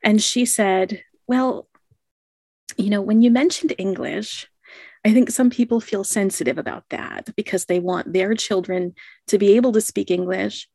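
A woman's voice: mid-range voice, moderate pace, vocal fry, mellow, no echo. A woman telling a personal story in a casual conversation, thoughtful and often laughing. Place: lives in Japan.

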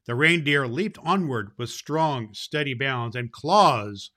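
A man hosting and reading a Christmas storybook aloud to children in an expressive way. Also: medium pitch, takes it slowly. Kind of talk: storybook read aloud with informal host talk.

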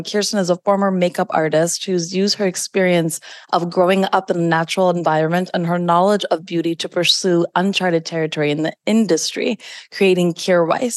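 Effusive woman podcast host, dry and low. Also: darkish tone, no room sound. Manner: enthusiastic, effusive. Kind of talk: podcast interview.

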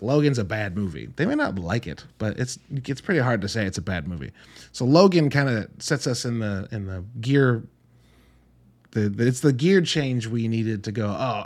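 A man hosting a podcast, giving a casual, conversational solo film review in a blunt, casually profane way with rhetorical asides. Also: on the low side. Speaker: podcast host reviewing films.

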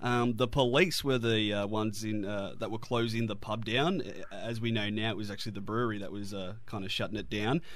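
A man's voice: fairly low pitch, fairly even intonation, a little fast, hardly any pauses, a bit bright. A man with an Australian accent hosting a radio interview, chatty and a little hesitant, with fillers. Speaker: radio host.

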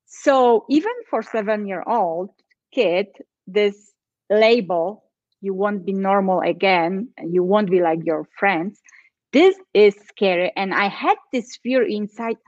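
A woman's voice: mid-range voice, takes it slowly, a little mellow. A woman with a Polish accent speaks earnestly, with feeling, as a podcast guest. Her voice is muffled and dull, with a dry sound and no room echo. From Poland. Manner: earnest, tells her story with feeling, acts out quoted voices. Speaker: guest, change and transition coach. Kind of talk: personal story in a podcast chat.

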